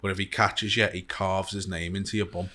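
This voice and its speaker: Lower-voiced man in his thirties, telling stories. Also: level tone, a little fast, pushed and bright. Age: 30s. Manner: dry storyteller, laughs at himself. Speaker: podcast guest. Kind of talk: casual chat.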